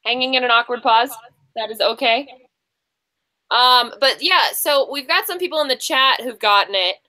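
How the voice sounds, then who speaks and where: Bright, high-voiced woman hosting a quiz. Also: normal speed. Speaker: host of an online book quiz. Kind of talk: unscripted quiz hosting, chatting with contestants. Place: online group video call.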